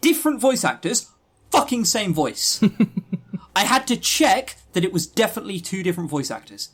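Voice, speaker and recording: A man with a slightly high voice and very lively intonation. He chats casually as a podcast co-host reviewing games, sarcastic and prone to swearing. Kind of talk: casual chat reviewing visual novels.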